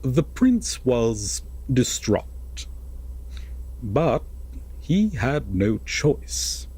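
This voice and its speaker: A man playing an obsessive, lovesick captor prince in an audio roleplay, telling a dramatic bedtime fairytale in a halting, hushed, breathy voice. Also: medium pitch, big pitch swings, a little hiss or hum. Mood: anguished justifying. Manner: halting, hushed, wistful, with heavy pauses.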